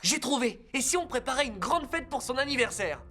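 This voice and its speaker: A performer voicing an earnest, helpful rescue car in a French cartoon dub, explaining things clearly. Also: sits high, expressive, brisk, pushed and bright, soft background music. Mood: eager, decisive.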